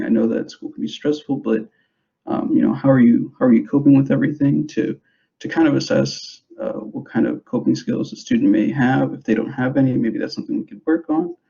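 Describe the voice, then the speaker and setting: A young man who grew up in the United States, giving an informal presentation to parents in a hesitant, halting way, on a dull, muffled recording. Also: medium pitch, gravelly, dark tone. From grew up in the United States, born in El Salvador. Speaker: school substance abuse prevention specialist.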